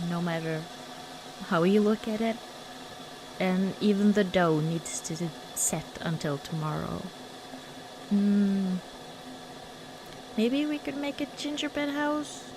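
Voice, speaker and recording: A woman doing an ASMR roleplay as an affectionate girlfriend, chatting casually and hesitantly in a soft, intimate way. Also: medium pitch, slow, long pauses, background noise. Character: affectionate, cozy girlfriend baking at Christmas.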